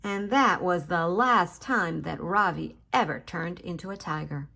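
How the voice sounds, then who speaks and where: A woman's voice: lowish voice, unhurried, gravelly, bright, forceful tone. A woman hosting a children's story time, reading a picture book expressively, addressing young listeners directly and stressing key words for drama.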